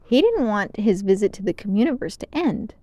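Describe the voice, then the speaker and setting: A woman reads a children's story as narrator. Her voice sits in a middle range, with expressive storytime delivery, recorded close and dry. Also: mid-range voice, brisk, no room sound.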